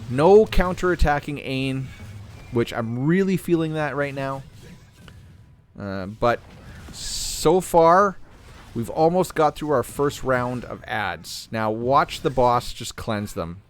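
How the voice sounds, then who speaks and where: A man hosting a gaming channel, talking casually through a game while playing, clearing his throat as he is losing his voice. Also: medium pitch, takes it slowly, slight background noise. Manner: relaxed, thinking aloud while playing. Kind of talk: casual gameplay commentary.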